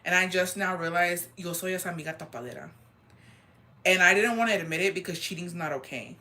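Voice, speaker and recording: A deep-voiced woman from Texas chatting casually and gossiping on a livestream, slangy and loose, dropping in Spanish phrases. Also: fast talker, sharp tone. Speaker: livestreamer reading viewer comments.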